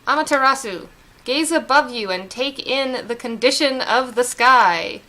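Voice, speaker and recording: A woman voicing a solemn female tree spirit from a video game, reading formal dialogue slowly and earnestly. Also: high voice, sharp tone, slight background noise, poor audio.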